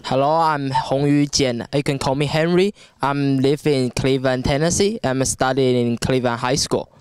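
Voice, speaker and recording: A young man, an exchange student, slowly introducing himself outdoors on a handheld mic. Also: medium pitch, steady tone, darkish tone, smooth, no echo, studio quality. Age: young adult. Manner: slow, careful self-introduction. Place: outdoors in a forest.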